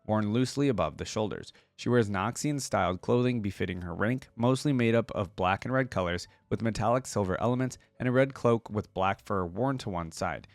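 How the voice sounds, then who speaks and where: A man's voice: lowish voice, animated, a little fast, hardly any pauses, no echo. A man reading text aloud as a reaction video host, dropping in casual asides between passages.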